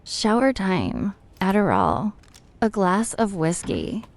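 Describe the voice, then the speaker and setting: Female synthetic message reader, unhurried, animated melody, theatrical phrasing for livestream chat. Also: medium pitch. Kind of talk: read-out stream chat messages.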